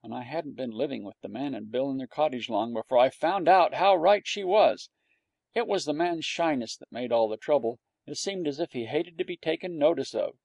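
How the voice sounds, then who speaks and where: A man's voice: medium pitch, normal speed, gravelly, a little mellow, dry recording, muffled recording. A man reading a storybook aloud in a wry storytelling manner. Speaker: audiobook narrator.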